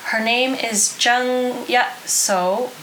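A woman's voice: on the high side, steady tone, extremely slow, fairly bright tone, a little hiss or hum, poor audio. An American woman co-hosting a podcast, telling a story in casual chat, hesitant with frequent ums and restarts. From United States. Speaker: podcast co-host.

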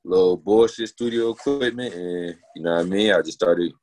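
A man, a rapper from Newburgh, New York, talking casually in an interview with a naturally deep voice, full of slang and "you know what I mean" fillers. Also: normal speed, darkish tone. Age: adult, not young. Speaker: rapper guest.

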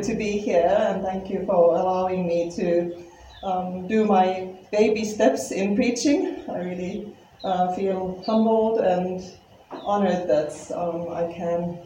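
A woman's voice: medium pitch, takes it slowly, warm tone, slight background noise. A woman from Finland with a Finnish accent, giving her first sermon humbly, with light self-deprecating humor and some hesitant fillers. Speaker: lay preacher. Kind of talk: sermon introduction. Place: church hall. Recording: echoey church hall, distant mic, faint ambience.

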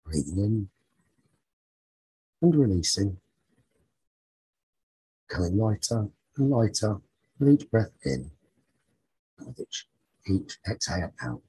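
Very husky, slow man guiding sleep meditation. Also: fairly low pitch, big pitch swings, warm tone, low-quality recording. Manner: soothing, short phrases with long pauses. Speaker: meditation teacher leading a guided sleep talk-down.

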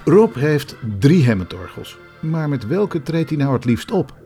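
A man's voice: a little high, very animated, measured pace, dark tone, soft background music. A man reading scripted narration for a documentary podcast as its narrator.

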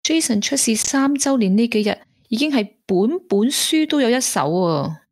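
Woman acting guilt-ridden young hong kong girl in a radio play, soft sad type, bit husky, dry close sound. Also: on the high side, very animated, normal speed, dry recording. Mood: uneasy, confiding. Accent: Hong Kong Cantonese. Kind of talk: Cantonese radio drama acting.

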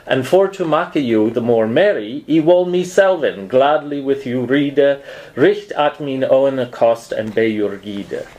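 A man reading old verse aloud in reconstructed Middle English pronunciation, as a measured storytelling recitation. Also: medium pitch, expressive, darkish tone, highs cut off.

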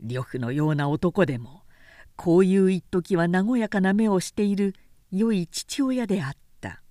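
A woman reading a historical novel aloud as a narrator, in a measured, deliberate storytelling manner. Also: lowish voice, mellow, no room sound, clean studio sound. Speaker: narrator, literary reader.

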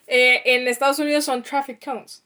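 A woman's voice: high voice, level tone, moderate pace, sharp tone. A young woman with a northern Mexican accent, chatting casually with her sister and teasing her. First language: Spanish. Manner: chatty, teasing, laughs often. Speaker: co-host, sister. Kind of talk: casual chat.

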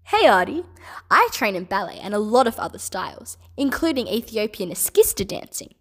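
Bubbly Australian woman, lively pitch. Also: normal speed. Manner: bubbly, enthusiastic, speaks gently to kids. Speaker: children's entertainer. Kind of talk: answering children's questions. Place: at home.

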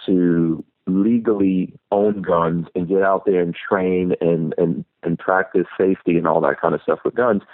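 A man's voice: low-pitched, no echo. A man who grew up in New York City, a YouTuber guest chatting easygoing and reflective in an interview. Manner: easygoing, reflective, rambling with restarts. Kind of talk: casual interview chat. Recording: tinny phone line.

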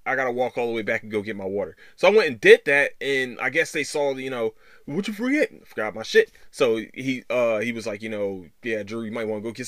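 Guy doing a solo podcast, mid pitched voice, super dry sound, rambly and halting, repeats himself, swears a lot. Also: fairly bright tone, dry recording. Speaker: podcast host. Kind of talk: casual solo podcast monologue.

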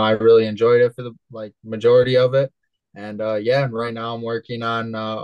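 A man in his twenties from West Michigan, a guest on an interview show telling his story in a relaxed, rambling way with many fillers. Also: fairly low pitch, no room sound, rough recording. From West Michigan, USA. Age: 20s. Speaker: guest, cannabis entrepreneur.

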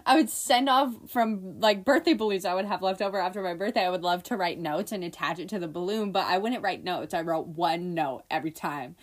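A woman in her early twenties chatting casually on a podcast, playful and quick to laugh. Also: on the low side. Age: 20s. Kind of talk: casual chat.

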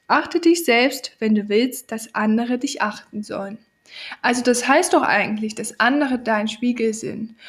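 A woman with a cold hosting a podcast, giving an earnest motivational monologue straight to the listener. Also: on the high side. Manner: earnest, coaching, addresses listener directly. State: has a cold. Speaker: podcast host.

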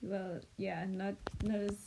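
A woman's voice: medium pitch, level tone, takes it slowly, vocal fry, dark tone, slight background noise. A woman from South Africa whose first language is Sepedi, co-hosting a casual podcast chat and talking hesitantly, with many fillers and restarts. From South Africa (Limpopo). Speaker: podcast co-host.

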